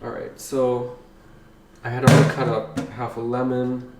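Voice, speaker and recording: A man's voice: medium pitch, moderate pace, very noisy. Chatty man in an echoey kitchen. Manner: chatty, rambling, easily excited. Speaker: vlogger. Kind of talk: casual chat while baking. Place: home kitchen. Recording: echoey kitchen, slightly distant mic.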